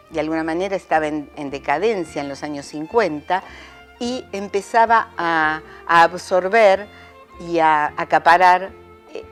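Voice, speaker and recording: A middle-aged woman, a theatre director, speaking in a reflective interview with thoughtful, searching pauses. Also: on the low side.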